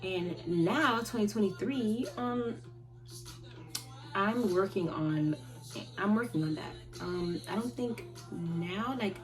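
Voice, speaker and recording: A woman in her twenties answering viewer questions in a rambling, halting way, often restarting and trailing off. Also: medium pitch, rough recording. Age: 20s. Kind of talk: casual chat. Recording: loud music playing behind her.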